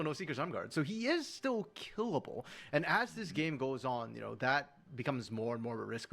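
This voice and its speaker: A man gives colour commentary on a live esports match, working through each team's choices out loud. His pitch swings widely as he talks, so he sounds animated and engaged even while he explains slowly. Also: gravelly. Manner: analytical, lively intonation. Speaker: colour caster.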